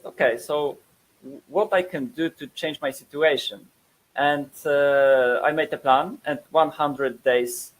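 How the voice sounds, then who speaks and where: Polish man, 30s, webinar presenter, hesitant, mid-pitched voice. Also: low-quality recording. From Poland. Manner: hesitant, many fillers and repeated words.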